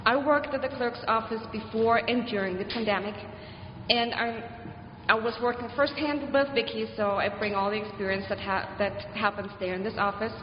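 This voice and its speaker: A woman in her thirties with a German accent, her voice set a touch high, pausing often with fillers as she gives a candidate's opening statement. She sounds through a dull-toned mic in a big echoey hall with a long reverb. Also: a little hiss or hum. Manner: hesitant, with soft laughs and fillers.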